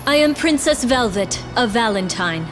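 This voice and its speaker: A woman's voice: fairly high pitch, lively intonation, normal speed, soft background music, lightly acted. A woman voicing an earnest, resolute young princess in a video game, with formal, solemn speech. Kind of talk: dramatic video game voice acting.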